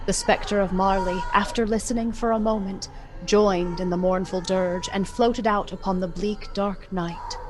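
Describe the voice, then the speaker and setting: A woman giving measured, expressive scripted narration as the storyteller of a radio drama. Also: mid-range voice.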